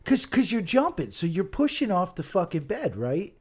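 Animated, sweary man with a lightish voice. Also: high-pitched, normal speed, slightly rough. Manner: profane, comic griping. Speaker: podcast co-host. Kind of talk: casual chat.

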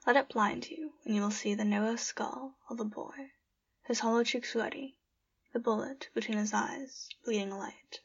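A woman's voice: a little high, fairly even intonation, moderate pace, breathy edge, muffled recording. A young woman introducing and reading a poem she wrote. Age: young adult. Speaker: poet.

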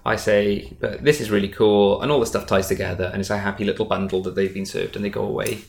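A man speaking casually as an interviewed stage magician, emphatic and animated. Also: fairly low pitch, quick pace, creaky, slight background noise. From Wales. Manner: animated, emphatic, acts out imagined dialogue.

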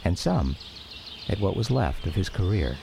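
A man's voice: low voice, very animated, moderate pace, creaky, background noise, heavily acted. A man performing as a reflective grown son, narrating a scripted radio drama about his father. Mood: measured reflective.

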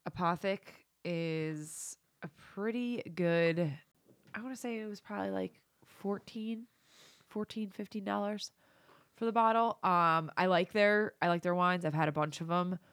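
A woman's voice: fairly low pitch, unhurried, no echo. A woman with a stuffy nose from a cold, chatting casually as a co-host with a hesitant, filler-heavy way of talking. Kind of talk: casual chat.

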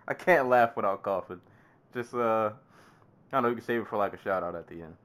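A man's voice: fairly low pitch, brisk, fairly bright tone. A man hosting a casual podcast while congested with a summer cold, laughing and coughing often. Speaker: podcast host.

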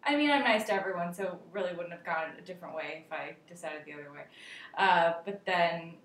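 A woman's voice: fast, a bit bright. A woman chatting casually with a dry, wry sense of humour. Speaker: podcast host. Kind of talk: casual chat. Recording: echoey room with faint rumbling noise.

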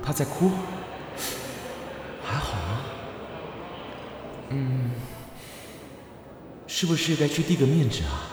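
Man acting a slow, echoing, smitten young gentleman. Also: medium pitch, very animated, a loud crowd. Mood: smitten, musing. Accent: Taiwanese. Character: shy, tender, smitten male designer. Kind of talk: radio drama acting. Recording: heavy echo, faint music.

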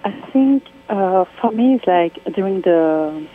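A woman from Congo with a French accent, speaking as an artist guest on a phone interview, soft-spoken and halting in English, laughing often. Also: mid-range voice, expressive. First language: Swahili, Lingala and French. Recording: tinny phone line with faint noise.

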